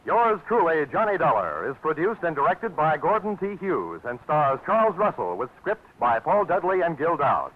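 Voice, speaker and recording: A man gives credits and promotions in a lively 1940s network announcer style. His voice is high and swings widely. The sound is tinny, with music behind him. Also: moderate pace, few pauses, slight background noise. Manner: bright, lively promotional delivery. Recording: tinny old radio broadcast with music.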